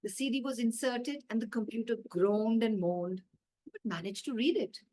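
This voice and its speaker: A woman with an Indian accent reads a children's story aloud. Her voice is a little high, and her narration is expressive and clearly meant for young listeners. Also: moderate pace. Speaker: author reading her own story.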